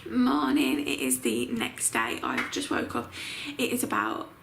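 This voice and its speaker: Croaky-voiced young pregnant woman vlogging. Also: a bass voice, very animated, a little slow, a bit bright, slight background noise. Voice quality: croaky morning voice. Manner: chatty, with constant fillers. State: heavily pregnant. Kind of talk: casual vlog chat. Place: home bedroom.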